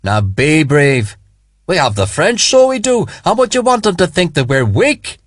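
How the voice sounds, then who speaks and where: A man voicing a rough but tender Irish army sergeant, with an Irish brogue and a lilting way of talking. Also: fairly high pitch, very animated, moderate pace, no room sound, studio quality, voice pushed up. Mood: coaxing loud.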